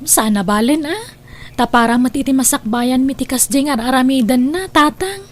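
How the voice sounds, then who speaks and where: A woman's voice: high-pitched, animated, normal speed, noisy background. A woman playing a money-minded, scheming mother in an Ilocano family drama. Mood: calculating, insistent. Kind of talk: Ilocano radio-style family drama acting.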